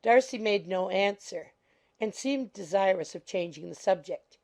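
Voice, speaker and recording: Creaky-voiced woman narrating a classic novel. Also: mid-range voice, lively intonation, moderate pace, darkish tone. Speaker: audiobook narrator. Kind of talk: scripted literary narration.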